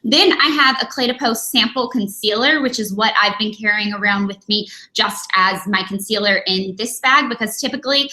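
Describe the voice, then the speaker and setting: A woman's voice: medium pitch, moderate pace, hardly any pauses, pushed and bright, poor audio. A young woman chatting casually on a live vlog from her dining room, rambling with lots of fillers and a little sniffly from a cold. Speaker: beauty vlogger. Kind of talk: casual live-stream chat.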